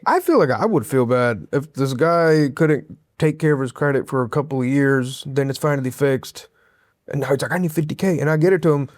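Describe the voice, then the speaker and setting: A man's voice: medium pitch, no echo, studio quality. Easygoing man in his late twenties. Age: 20s. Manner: easygoing, asks questions, quick backchannels. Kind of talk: casual podcast conversation.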